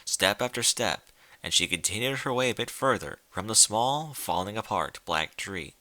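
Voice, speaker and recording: A man narrates stories with theatrical, deliberate delivery. His voice sits a little low yet is strikingly bright and forceful, with lively swings of pitch. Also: no echo. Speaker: story reader. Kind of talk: dramatic scripted narration of fan fiction.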